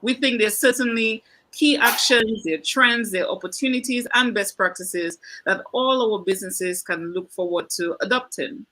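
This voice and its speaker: A woman's voice: mid-range voice, moderate pace, pushed and bright, slight background noise, poor audio. A woman moderating an online business panel, formal and welcoming as she introduces the speakers.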